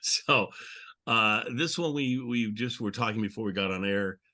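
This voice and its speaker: Bright-voiced man rambling as podcast host. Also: lowish voice, normal speed. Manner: rambling, conversational. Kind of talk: casual chat.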